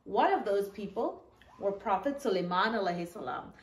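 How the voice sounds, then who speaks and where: A woman storyteller reading a children's story aloud, with animated asides and questions to young listeners. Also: medium pitch, normal speed. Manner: animated, asks listeners questions, acts out short quotes. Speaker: children's storyteller reading her own book. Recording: echoey room.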